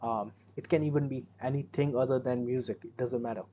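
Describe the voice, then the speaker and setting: A man's voice: mid-range voice, fairly even intonation, a little fast, warm tone, muffled recording, a little hiss or hum. Chatty Indian man reacting to music. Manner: rambling, self-correcting, chatty. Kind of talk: casual reaction commentary. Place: small room indoors.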